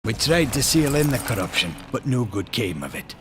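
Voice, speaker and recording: A man's voice: mid-range voice, sing-song, normal speed, over music, close to an everyday voice. A man playing a chatty, wily and cowardly Maker trader in a fantasy game, with a folksy, sly way of talking.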